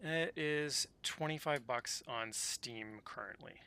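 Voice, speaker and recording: A man's voice: mid-range voice, takes it slowly, sharp tone, smooth. A man livestreaming a video game, chatting casually and rambling as he thinks aloud. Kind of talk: casual chat while playing. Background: video game music.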